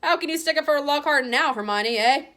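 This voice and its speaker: A woman's voice: sits high, a little fast, pushed and bright, pitched above the performer's own voice. A woman voicing a cheeky, indignant twelve-year-old boy. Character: cheeky boy wizard, twelve, loyal friend.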